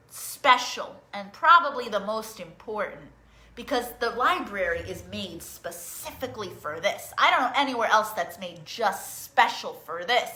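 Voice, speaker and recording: A woman hosting a live online story time, talking directly to young children in a sing-song, animated manner as a librarian who reads and teaches songs. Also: fairly high pitch. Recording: roomy, echoing sound.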